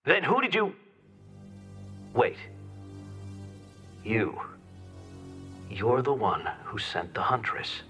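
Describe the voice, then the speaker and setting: An actor playing a forceful, accusatory male senator, exasperated and indignant, in a video game cutscene. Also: mid-range voice, wildly swooping pitch, extremely slow, pauses often, faint music behind, exaggerated voice. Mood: shocked.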